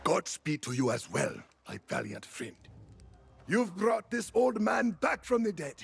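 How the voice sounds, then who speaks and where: An actor playing a valiant, resolute old military leader in a video game, with a husky, gravelly voice and a commanding manner. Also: male voice, high-pitched, very animated, measured pace, full character voice. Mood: resolute, tender. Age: older. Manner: commanding, emphatic. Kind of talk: dramatic video game acting. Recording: loud music behind the voice.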